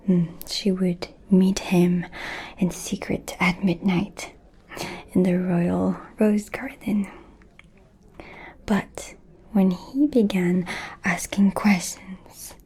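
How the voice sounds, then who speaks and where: Woman acting as female vampire aristocrat, very breathy whisper, dark soft tone, scheming, seductive, insinuating, ASMR roleplay. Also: on the low side, heavily acted. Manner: slow, deliberate, insinuating with pauses.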